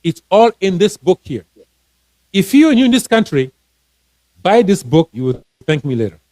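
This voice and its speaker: A man's voice: high-pitched, sing-song, normal speed, darkish tone, dry recording. A man from Cameroon, a financial coach and author, giving casual advice on a live stream, earnest and encouraging, often restarting his words.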